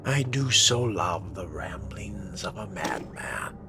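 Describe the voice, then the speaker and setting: A man's voice: low-pitched, expressive, slow, noisy background, heavily acted. A man voicing a sardonic, clinical vampire fledgling in a game roleplay, musing to himself with formal, condescending menace. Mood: wry, scheming. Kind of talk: in-character roleplay during a video game playthrough.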